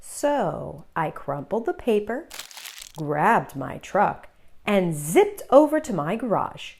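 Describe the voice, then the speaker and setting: A woman hosting a children's read-aloud, telling the story in an expressive, deliberate way for young listeners. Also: medium pitch, vocal fry, a little hiss or hum.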